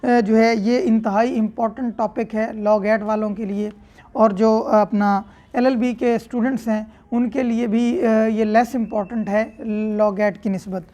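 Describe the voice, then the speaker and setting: A woman's voice: a little high, steady tone, a little slow, mellow. A woman with a Pakistani accent lecturing, reading out formal clauses and explaining them in a didactic way, rephrasing each point. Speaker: law lecturer.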